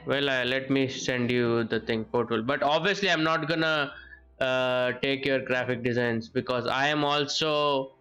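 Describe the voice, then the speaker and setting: A man live streaming a game, chatting casually and haltingly as he comments. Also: medium pitch, pushed and bright. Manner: halting, repeats the game dialogue. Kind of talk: casual gameplay commentary and chat.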